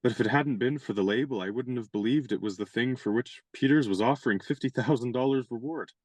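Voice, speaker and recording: An actor playing a chivalrous, genial young gentleman adventurer in a radio play, speaking in courtly, elaborate and playfully pompous phrases. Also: mid-range voice, quick pace, no room sound, natural voice.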